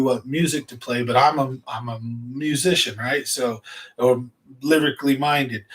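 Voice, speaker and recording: A man's voice: medium pitch, a bit bright. A man hosting a fishing channel, chatting casually and at length to his viewers in a rambling, easygoing way with plenty of fillers. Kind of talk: casual livestream chat to viewers.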